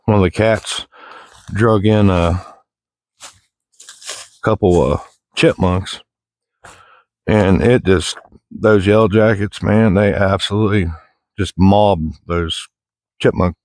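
A man's voice: deep voice, fairly even intonation, slow pace, pauses often, darkish tone, no room sound. A middle-aged man with an American accent chatting casually while walking outdoors in the woods, in a folksy, rambling, plain-spoken way. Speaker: outdoor vlogger. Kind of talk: casual walk-and-talk chat.

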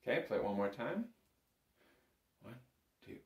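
A man's voice: medium pitch, sing-song, a little slow. A man giving a casual, step-by-step music lesson as an instructor, counting beats and checking in as he goes. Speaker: bagpipe teacher. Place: small indoor room full of instruments. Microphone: camera a short distance in front. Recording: roomy echo from camera mic.

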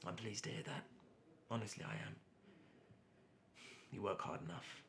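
Man acting a low, gravelly, nervous lovelorn artist. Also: animated, fairly bright tone, slight background noise. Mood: awkward, polite. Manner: hesitant, halting, awkwardly polite. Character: nervous, obsessive lovelorn male artist narrating his longing. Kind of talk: dramatic monologue and phone dialogue.

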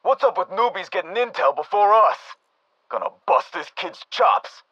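A man's voice: high-pitched, lively intonation, normal speed, pushed and bright, husky, no room sound, lo-fi sound, heavily acted. A man playing a cocky, streetwise American mob thug in a video game, heard through a radio-like filter. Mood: resentful. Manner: streetwise slang.

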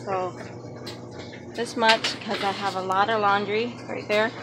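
Slow-talking woman over loud running water. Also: medium pitch, steady tone. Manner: slow, step-by-step explaining while working. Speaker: vlogger. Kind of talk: casual how-to narration. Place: small laundry room at home, indoors. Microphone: handheld phone camera, close. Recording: phone video with loud water noise. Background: loud running water from the washing machine.

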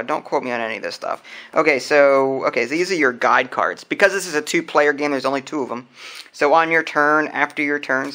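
A man's voice: a little high, gravelly, bright, forceful tone. A man hosting an unboxing video, chatting casually and thinking aloud as he handles things. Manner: informal, thinking aloud while handling items. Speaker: host of a board game unboxing channel. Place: indoors at a wooden table.

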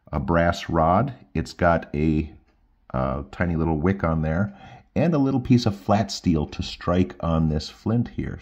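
A man's voice: deep voice, darkish tone. A middle-aged man casually demonstrating and reviewing outdoor gear, recorded indoors at a tabletop with a slightly dull sound.

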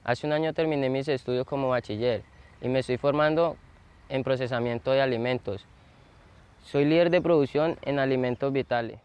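A man's voice: mid-range voice, moderate pace, lo-fi sound, a little hiss or hum. A Colombian man in his early twenties delivering a prepared presentation to camera. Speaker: production leader of a small food business. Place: outdoors on a hillside.

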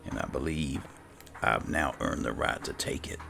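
A man giving dry, unhurried roleplay commentary over a video game, as if talking to himself. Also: extremely low pitch, creaky. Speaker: gaming channel host. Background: game music.

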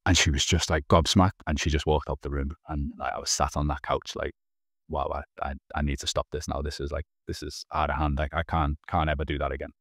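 Man, very low voice, monotone, dry close sound, earnest storytelling, video call. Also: a little fast, vocal fry, no room sound. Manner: earnest, frequent restarts and repeated words. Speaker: podcast guest. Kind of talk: candid personal storytelling. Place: small indoor room. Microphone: video call, wearing headphones.

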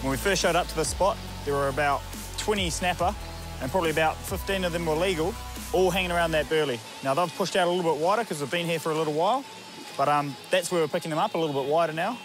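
A man's voice: on the high side, fast. A man with a New Zealand accent co-hosting a fishing show, chatting casually and cheering outdoors by the sea. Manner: animated, whoops and cheers at catches. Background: music.

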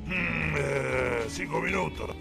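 Gravelly male voice, put on by a man, Rioplatense Argentine accent, gruff lecherous action hero, mumbled grunts, parody dub, music behind. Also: a little high, takes it slowly, heavily acted. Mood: sly, laconic. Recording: music under the dialogue.

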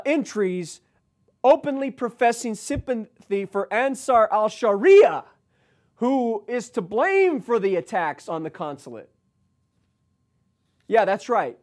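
A man with an American accent and a notably high-pitched voice delivers political commentary. He reads news items aloud and breaks off into emphatic, halting asides, often repeating himself. He sounds like he is in his forties. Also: a little mellow. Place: indoor studio set, seated at a desk.

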